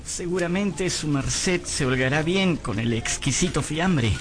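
A man's voice: fairly high pitch, animated, a little slow, slight background noise. An actor playing a poor, hungry servant boy who tells his misfortunes in a plaintive, theatrical way for a radio drama. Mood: meek. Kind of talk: dramatic first-person radio narration. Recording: music bed behind voice.

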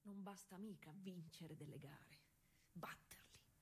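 A woman's voice: lowish voice, creaky, slight background noise. A woman playing a working-class 1920s cyclist, weary but stubborn, in an intimate, colloquial theatrical monologue. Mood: bitter, musing. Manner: intimate colloquial storytelling with dramatic pauses.

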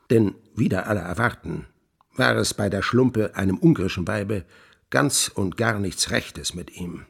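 A man playing a grandiloquent scholar in a radio play, recounting witchcraft lore with relish in declamatory, archaic phrasing and emphatic pauses. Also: on the low side, gravelly, breathy edge, heavily acted. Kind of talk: theatrical literary storytelling in a radio play.

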